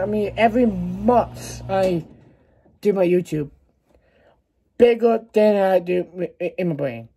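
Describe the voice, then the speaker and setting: A man in his early twenties chatting casually to a vlog audience in a halting, rambling way, often repeating words and short phrases. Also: high voice, mellow, husky, poor audio. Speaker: vlogger. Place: small laundry room beside a kitchen at home, indoors. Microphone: phone at arm's length.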